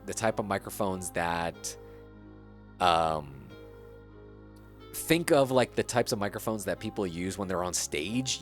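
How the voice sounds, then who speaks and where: A man in casual chat as a gaming streamer, rambling and searching for words, sounding a little stuffy, on a dynamic streaming mic at a home setup. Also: mid-range voice. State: slightly stuffy. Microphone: dynamic streaming microphone. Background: faint background music.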